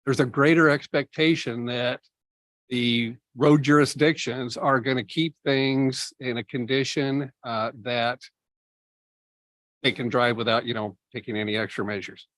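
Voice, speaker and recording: A city staff member explaining budget items at a public meeting, measured and explanatory, with many fillers. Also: male voice, mid-range voice, fairly even intonation, a bit bright, no room sound, poor audio. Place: meeting room.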